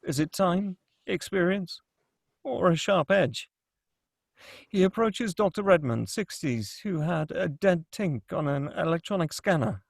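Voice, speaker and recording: A man narrates a screenplay at a table read. His voice is fairly high with a gravelly creak, and the sound is close and dry. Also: animated, unhurried, dry recording. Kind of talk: scripted narration.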